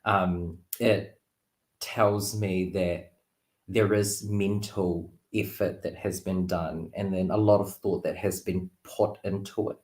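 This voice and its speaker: Low voiced guy chatting about tarot cards, expressive, kinda playful, roomy echo on the call. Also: slow. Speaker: tarot reader, guest. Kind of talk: casual, reflective chat. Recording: roomy, echoey sound.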